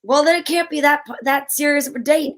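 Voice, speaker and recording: A woman's voice: sits high, quick pace, a crowd in the background. A woman co-hosting a comedy livestream in casual, chatty, jokey banter. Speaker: co-host of a comedy radio show livestream.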